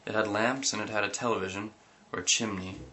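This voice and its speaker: A man reading a comic story aloud, animated, breaking into giggles and sudden yelps. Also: on the low side, fast talker, poor audio. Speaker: reader.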